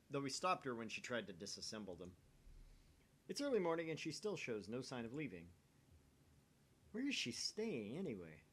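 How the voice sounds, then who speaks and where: A man who hosts gaming videos, reading a story aloud with casual banter in between. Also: mid-range voice.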